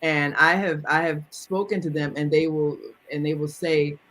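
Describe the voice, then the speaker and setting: A man's voice: on the high side, fairly even intonation, normal speed, pushed and bright, low-quality recording. A man hosting a conversational interview, supportive and often chiming in with short agreements. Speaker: host.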